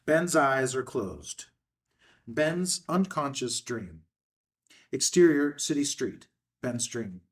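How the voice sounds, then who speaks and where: A man with a medium-pitched voice reads out stage directions as a narrator. It is scripted screenplay narration, picked up from some way off the microphone. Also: lively intonation, slow. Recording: distant microphone.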